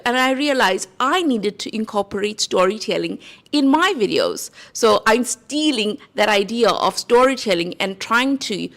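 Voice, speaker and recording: A woman's voice: mid-range voice, gravelly, fairly bright tone. A woman with a South African accent, talking casually to camera about growing a channel, earnest and conversational, often checking in with "right?". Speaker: YouTube creator. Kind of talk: casual talking-head advice.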